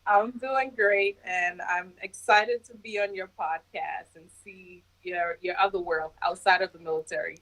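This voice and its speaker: A woman from Jamaica, raised in Brooklyn, talking as a podcast guest in an unhurried, reflective way. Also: lowish voice, fairly even intonation, a bit bright, rough recording. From Jamaica, raised in Brooklyn, New York. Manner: unhurried, reflective storytelling with light laughs. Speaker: podcast guest, Navy senior chief. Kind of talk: casual interview conversation. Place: home, remote call from abroad.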